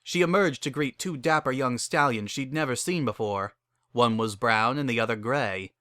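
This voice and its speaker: A man narrates a story in a dramatic reading style. His voice is mid-pitched, bright and forceful, recorded dry without room sound. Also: normal speed, no room sound.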